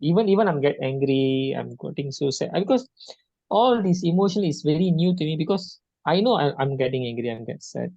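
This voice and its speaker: A hesitant man from Malaysia speaks over a video call with dull, lo-fi sound and a dry, close feel. His voice is gravelly and sits in a middle range. He stops and restarts often, searching for words. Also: on the high side, expressive, brisk, mellow, dry recording. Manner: hesitant, many restarts and fillers. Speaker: session participant. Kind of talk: halting personal sharing.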